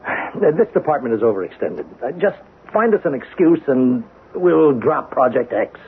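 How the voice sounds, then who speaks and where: An actor playing a flustered, dismissive army general who harrumphs and repeats himself in a 1970s radio drama. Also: male voice, mid-range voice, big pitch swings, moderate pace, a little hiss or hum. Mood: dismissive, official. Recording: muffled, phone-like old radio recording.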